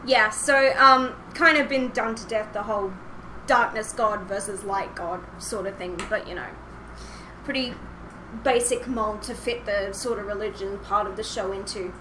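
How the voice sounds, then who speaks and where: A woman's voice: medium pitch, normal speed. A young woman hosting a casual podcast over a call, chatty and giggly. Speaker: podcast host. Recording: clearly noisy voice-call audio.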